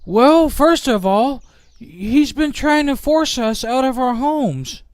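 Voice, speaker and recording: Guy playing polite earnest dad in a minecraft roleplay, super high clean voice, explains stuff carefully. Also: lively intonation, takes it slowly, clean tone, no echo, studio quality, full character voice. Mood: earnest, complaining. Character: earnest, polite male suburban father airing grievances.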